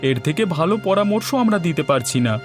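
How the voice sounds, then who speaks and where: A man plays a stern cartoon moneylender. His voice is notably high for a man, hard and threatening. Faint music plays behind him. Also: expressive, fast, close to an everyday voice. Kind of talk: Bengali fairy-tale cartoon dubbing.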